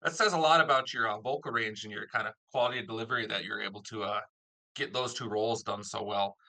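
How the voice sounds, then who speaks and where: A man's voice: on the low side, quick pace, creaky, pushed and bright, rough recording. A man hosting a casual interview, chatting as the producer of an audio drama.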